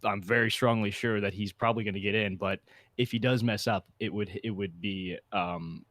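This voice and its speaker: A man's voice: lowish voice, fairly even intonation, normal speed, fairly bright tone, no room sound. A man co-hosting a casual podcast discussion, often qualifying and correcting what he says as he goes. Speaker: podcast co-host.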